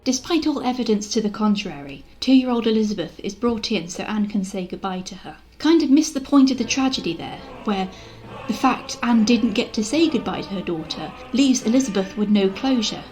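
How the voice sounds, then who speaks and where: A woman's voice: medium pitch, expressive, normal speed, darkish tone, soft background music, rough recording. A woman delivering scripted, sarcastic film commentary with exasperated asides. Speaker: video essay host, film reviewer. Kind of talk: scripted critical commentary on screen dramas.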